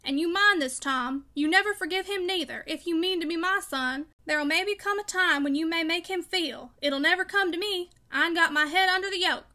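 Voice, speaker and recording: A woman's voice: high voice, a little fast, sharp tone. A woman voicing a fierce, bitter, broken old father with peremptory rustic speech in a storybook reading. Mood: fierce, vengeful. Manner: peremptory, emphatic, dialect speech. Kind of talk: audiobook character dialogue.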